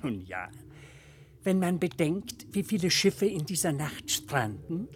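A ghoulish, overly courteous male stranger in an old radio play, with a low voice that swoops widely as it savors every grim detail. Faint tape hiss sits under the voice. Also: big pitch swings, unhurried. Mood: sly, macabre. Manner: smooth, mocking courtesy, relishing grim details. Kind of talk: classic radio drama acting.

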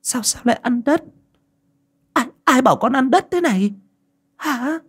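A man voicing a frantic young mother who questions her child in a shrill, worried voice, in a storybook reading. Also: animated, unhurried, breathy edge, heavily acted.

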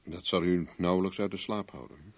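An actor in a Dutch radio drama playing a wry, flirtatious private investigator with a glib, teasing manner. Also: male voice, deep voice, fairly even intonation, normal speed. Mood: dry, unbothered. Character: wry, flirtatious, cool-headed male private investigator for hire.

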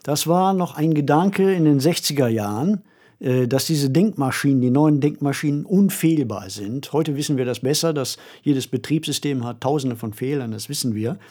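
Husky older man co-hosting a podcast. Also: medium pitch, animated, moderate pace, mellow. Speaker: podcast co-host. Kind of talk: reflective podcast discussion.

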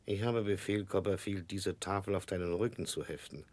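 A man plays a formal, mild schoolmaster in a vintage radio play. His very low voice is dry and close, measured and faintly regretful. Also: darkish tone, no echo, lightly acted. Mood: stern, regretful. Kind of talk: 1960s German radio drama acting.

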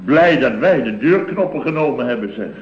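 A man's voice: medium pitch, lively intonation, a little fast, a crowd in the background, lightly acted. An actor playing an indignant, blustering building-firm boss in a radio comedy, exclaiming and repeating himself as he grumbles. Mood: exasperated. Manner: exclamatory, repetitive, grumbling storyteller. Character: blustering, indignant male construction-company boss recounting weekend mishaps. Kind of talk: scripted radio comedy acting. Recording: dull, roomy old radio recording.

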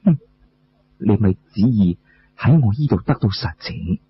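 A man's voice: fairly low pitch, expressive, measured pace, slight background noise, pitched below the performer's own voice. A man voicing a shrewd, plaintive wife and mother in Cantonese storytelling, with coaxing, sighing delivery. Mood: wary. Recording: muffled lo-fi recording.

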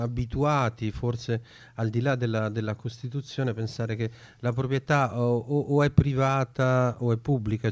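A man's voice: mid-range voice, dark tone, dry recording. A man hosting an informal political radio chat, musing tentatively as he goes. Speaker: radio host.

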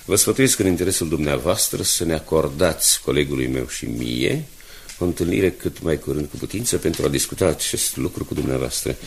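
A stately older newspaper boss in a radio drama. His very low, creaky voice moves expressively in measured, courtly phrases over faint noise. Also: lively intonation, full character voice. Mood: reading formally. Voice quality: deep, gravelly. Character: dignified older newspaper director, courteous, stubborn and warm-hearted. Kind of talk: 1980s radio play acting.

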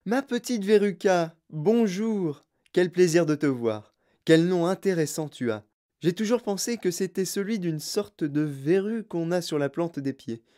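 A storyteller voicing a sprightly, mischievous old chocolatier with a clear, fluty voice, excitable and effusively polite, in an audiobook. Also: mid-range voice, natural voice. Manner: excitable, repeats himself in courteous bursts.

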